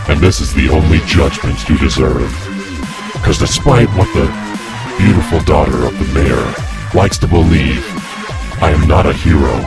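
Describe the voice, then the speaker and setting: A man voicing a gruff, threatening supernatural skeletal bounty hunter in an amateur audio drama, with a deep gravelly voice. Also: exaggerated voice. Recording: music behind the voice.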